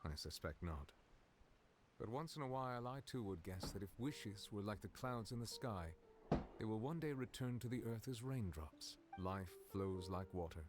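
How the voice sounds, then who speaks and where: A man playing a solemn, dignified high-ranking game character who speaks formally, deliberately and eloquently. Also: lightly acted. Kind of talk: scripted video game dialogue. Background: game music.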